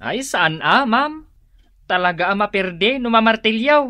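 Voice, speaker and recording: A fussy Ilocano repairman in a radio comedy. The voice is sharp and very dry in sound, with an Ilocano accent. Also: high-pitched, lively intonation, unhurried, dry recording, full character voice. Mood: fussy, reproachful. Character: fussy repairman inspecting a battered stove.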